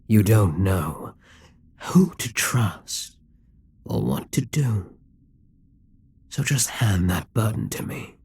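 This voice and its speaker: A man playing a dominant, gloating Demon King in an intimate ASMR roleplay, smug and coaxing, with a breathy, husky voice that often drops toward a whisper. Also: on the low side, lively intonation, takes it slowly, long pauses, a little mellow, no echo, exaggerated voice. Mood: hypnotic, coaxing. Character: dominant, gloating male Demon King toying with a captive hero.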